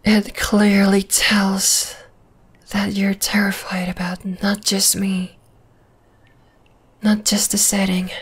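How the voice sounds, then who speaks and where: A woman playing a dominating, flesh-eating spider monster in an ASMR roleplay, whispering in a breathy, teasing, menacing way. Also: medium pitch, monotone, slow pace, pauses often. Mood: gloating, menacing. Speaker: voice actor.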